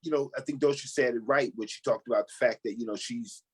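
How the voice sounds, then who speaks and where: A man's voice: mid-range voice, quick pace, breathy edge. A man giving expansive, emphatic answers as an interview guest in a conversational style. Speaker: stage actor, interview guest.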